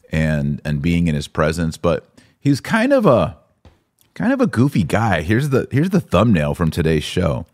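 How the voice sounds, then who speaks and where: A man with an American accent and a low voice speaks as a podcast host. He comments on the news to his audience in an informal, conversational style, stating his opinions plainly.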